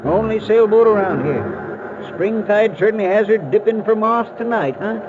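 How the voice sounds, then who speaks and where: An actor playing a folksy, easygoing local eccentric with a colloquial manner in a 1940s radio drama. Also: male voice, high voice, lively intonation, moderate pace. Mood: folksy, curious. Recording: muffled old radio recording, clear noise.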